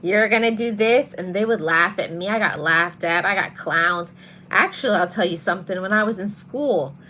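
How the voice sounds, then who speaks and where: A woman hosting a motivational podcast, talking to her audience in a soft voice and repeating her key phrases for emphasis. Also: on the low side, slight background noise. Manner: greets live viewers mid-talk. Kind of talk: live-streamed motivational talk.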